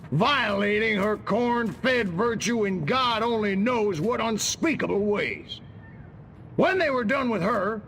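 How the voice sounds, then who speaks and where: A man's voice: sits high, gravelly, sharp tone, a little hiss or hum, full character voice. A man playing a pompous, paranoid American army general in a video game, full of combative bluster.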